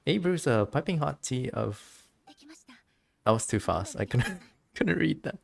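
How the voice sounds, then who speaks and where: Very low-voiced, chatty woman streamer. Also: lively intonation, a little slow, vocal fry, darkish tone. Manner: giggly, chatty. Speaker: game streamer. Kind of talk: casual gameplay commentary, reading dialogue aloud.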